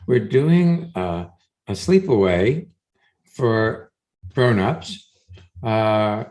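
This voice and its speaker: Slow, low-key co-host. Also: lively intonation, a little mellow. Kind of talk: casual radio chat.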